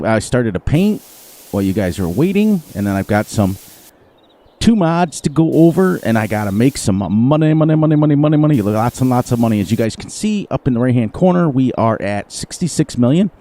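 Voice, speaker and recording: A man streaming a farming game live, chatting casually with viewers, his voice a little hoarse. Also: mid-range voice, sing-song, normal speed, dark tone. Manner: rambling, playful, sing-song repetitions. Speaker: gaming livestreamer. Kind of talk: casual live gameplay commentary.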